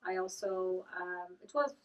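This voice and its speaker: A woman in her thirties from Morocco, Arabic her first language, answering interview questions thoughtfully and modestly with frequent pauses. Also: on the low side, far from the mic, dull audio. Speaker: guest, author and entrepreneur.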